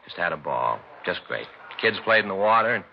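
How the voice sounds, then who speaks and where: A man's voice: sits low, very animated, fairly quick, vocal fry, exaggerated voice. An actor playing a put-upon American family-man detective, complaining in a folksy, rambling way, in 1950s radio drama style. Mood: reminiscing. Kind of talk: 1950s radio acting, conversational dialogue. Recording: dull vintage radio recording, faint noise.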